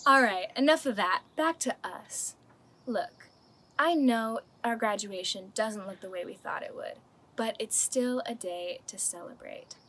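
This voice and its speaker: A woman's voice: fairly high pitch, a little hiss or hum. A young woman giving a self-mocking, comic graduation speech, recorded on a camera mic outdoors in a home garden. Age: young adult. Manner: deadpan self-mocking humor. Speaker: senior class speaker.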